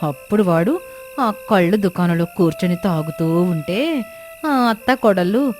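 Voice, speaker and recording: A woman's voice: fairly low pitch, measured pace, darkish tone, faint music behind, natural voice. A woman playing an envious, scheming, pushy village mother-in-law with an insistent, grumbling way of talking in a cartoon moral story.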